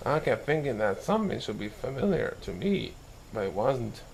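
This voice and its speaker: A man with a gravelly, fairly middling-pitched voice reads a children's story aloud. He narrates in storybook fashion and sometimes trips on a word and fixes it. There is faint noise behind him. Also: sing-song, normal speed. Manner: occasional stumbles and self-corrections while reading. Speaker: story reader.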